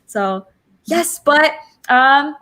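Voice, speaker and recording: A woman's voice: high voice, lively intonation, extremely slow, bright, forceful tone. A woman hosting a casual livestream author panel on a video call, speaking in an effusive, gushing way. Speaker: host of an online author panel.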